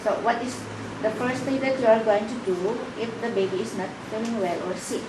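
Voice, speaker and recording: A woman interviewing a job applicant, asking brisk questions with frequent "okay, uh" fillers. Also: fairly high pitch. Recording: echoey room, distant mic, background noise.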